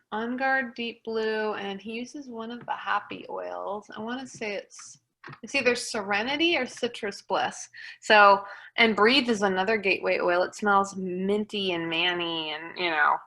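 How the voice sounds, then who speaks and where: A woman hosting a casual group chat, giving encouraging advice. Her voice is bright and forceful at a middle pitch. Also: creaky. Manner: encouraging, advising.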